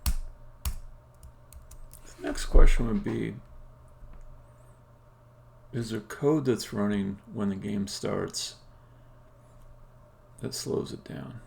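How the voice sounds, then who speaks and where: A man live-streaming as a game developer, thinking aloud in a halting, muttering way as he codes. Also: fairly low pitch, creaky, darkish tone. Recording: faint background noise. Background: computer keyboard typing and clicking.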